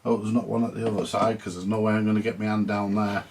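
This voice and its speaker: A man's voice: on the low side, level tone, fast talker, a little mellow, slight background noise. A man with a British accent giving casual, grumbly, mildly sweary commentary to viewers while doing hands-on repair work. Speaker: host of a vintage radio repair vlog.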